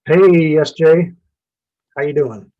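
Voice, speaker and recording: A man's voice: on the high side, slow, phone-quality sound. A man of about sixty, a business owner telling his story in a casual, folksy interview. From Indiana and Ohio, USA.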